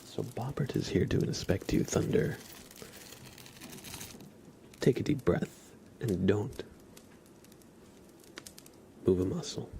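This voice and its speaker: Soft-spoken, whispery man with gravelly creak. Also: on the low side, animated, a little hiss or hum. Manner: gentle, unhurried, switching between whisper and soft speech. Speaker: ASMR live streamer.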